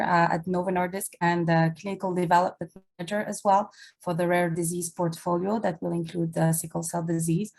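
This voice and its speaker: A woman from Algeria who is a medical advisor, introducing herself politely on a video call with a few stumbles. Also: lowish voice.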